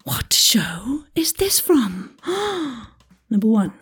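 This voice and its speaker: A woman's voice: fairly high pitch, big pitch swings, extremely slow, soft background music. A woman hosting an audio quiz in a chatty, playful style, with a theatrical, jokey delivery full of asides and exclamations. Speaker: quiz host.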